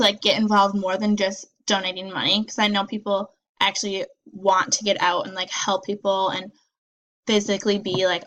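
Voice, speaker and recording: A woman's voice: mid-range voice, fairly bright tone, poor audio. A young woman giving peer feedback in a casual, upbeat way, full of likes and ums, a little nasal from a cold. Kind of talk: casual spoken review.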